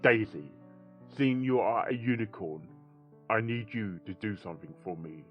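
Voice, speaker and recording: A man's voice: lowish voice, moderate pace, muffled recording, soft background music, lightly acted. A man voicing a grave, determined male pony doctor with an earnest, dramatic delivery in a story reading. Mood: resolved.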